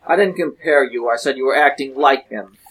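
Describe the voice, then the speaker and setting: A man's voice: medium pitch, fairly quick, a bit bright, slightly rough, poor audio. A man playing a resentful, defensive wife in a marital argument, speaking in a plain-spoken way.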